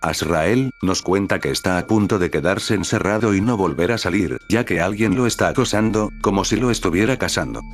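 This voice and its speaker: A man narrating a scripted horror recap over dark music. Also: low-pitched, brisk, hardly any pauses. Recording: background music under the narration.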